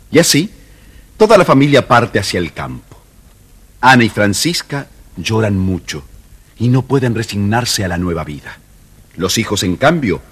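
A man narrating a children's storybook record in a measured storytelling voice. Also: lowish voice, slightly rough.

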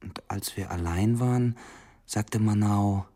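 A husky, brooding, jealous suitor. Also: male voice, on the low side, mellow. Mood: grave, reporting. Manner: intense, insistent, measured literary delivery. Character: poor, jealous, brooding suitor with a menacing, possessive love. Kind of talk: literary German radio drama acting.

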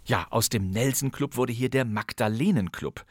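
A man's voice: mid-range voice, normal speed, no echo. A man hosting a podcast, delivering scripted narration with conversational asides and dry humour. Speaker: podcast host. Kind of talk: scripted narration about old radio crime plays.

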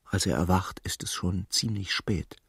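An actor narrating a literary radio play as a sombre storyteller, measured and dryly ironic. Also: male voice, low voice, creaky, a bit bright, slightly rough, dry recording, studio quality, full character voice. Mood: dry, subdued. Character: sombre, wry male storyteller of a feverish literary tale.

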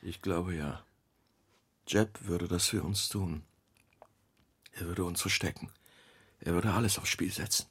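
An actor playing a skeptical, contrary middle-aged husband in a radio play, questioning and dryly grumbling. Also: male voice, low-pitched, unhurried, pauses often, a bit bright, breathy edge, heavily acted. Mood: quietly moved.

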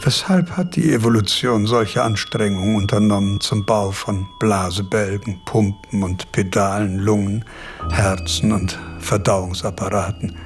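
An actor playing an aging, eccentric philosopher in a German radio play, lecturing in a pensive, deliberate, morbidly musing manner. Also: male voice, fairly low pitch, steady tone, faint music behind.

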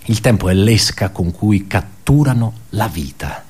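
An actor playing an embittered, pedantic male office worker in a radio theatre monologue, halting and repeating his words as he puts rhetorical questions. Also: on the low side, lively intonation, a little mellow, slightly rough. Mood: brooding, bitter.

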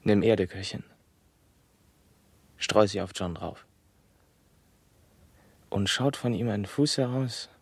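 An actor playing a callous, sardonic man chanting macabre verse in a radio play, with a husky, creaky voice. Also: on the low side, takes it slowly, long pauses, no echo, exaggerated voice. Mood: macabre, mocking.

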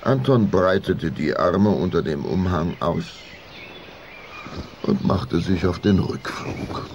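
A man narrating a German children's radio play, measured and expressive in scripted storytelling style. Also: deep voice, muffled recording, background noise, full character voice. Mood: measured, suspenseful.

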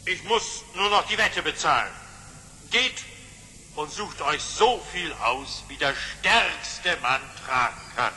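An actor playing an imperious sultan with a pompous, commanding delivery in an audio play. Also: male voice, fairly high pitch, sharp tone, a little hiss or hum, full character voice. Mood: lordly, magnanimous. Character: imperious, threatening yet jovial sultan ruler. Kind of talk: German audio-play acting. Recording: long hall reverb.

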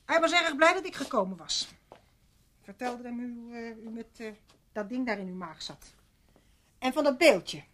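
A woman's voice: on the high side, expressive, normal speed, long pauses, a bit bright. An actress playing a bossy, gossiping housekeeper and mother in a radio play, speaking in a scolding, self-righteous way. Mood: indignant. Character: bossy, indignant gossiping housekeeper, mother of three grown children. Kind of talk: Dutch radio play acting.

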